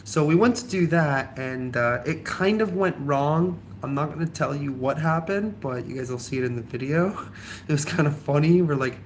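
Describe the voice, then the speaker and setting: A man's voice: a little high, normal speed, a little hiss or hum. A young man with a cold and a slightly nasal voice, chatting casually in a vlog, rambling with lots of ums and likes. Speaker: travel vlogger.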